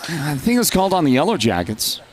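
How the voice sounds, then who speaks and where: A man's voice: fairly high pitch, very animated, fast talker. Congested nasal man calling basketball. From Northern Arizona. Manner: chatty, rises to loud calls on big plays. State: congested from a lingering cold. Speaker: play-by-play announcer. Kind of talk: live basketball commentary.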